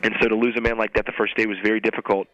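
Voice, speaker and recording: A male military officer recounting events first-hand in a measured, halting manner. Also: lowish voice, level tone, lo-fi sound, over music.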